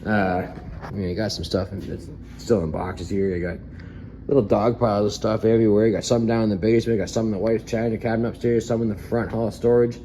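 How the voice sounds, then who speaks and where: A hoarse man with a low, mellow voice chats in a loose, rambling way as he tours his half-finished home basement. The bare room gives his voice a roomy echo, with a faint hum of ambience underneath. He chuckles easily and talks straight to his viewers. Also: brisk. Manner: relaxed, rambling, chuckles often. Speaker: vlogger. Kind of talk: casual walkthrough chat.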